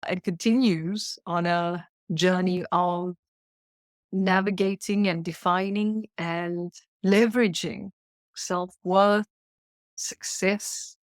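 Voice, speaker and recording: A woman's voice: mid-range voice, no echo. A woman in her thirties with a South African accent, a podcast guest speaking earnestly and reflectively, often posing questions to herself. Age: 30s. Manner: earnest, searching, with repeated questions. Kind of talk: reflective interview talk.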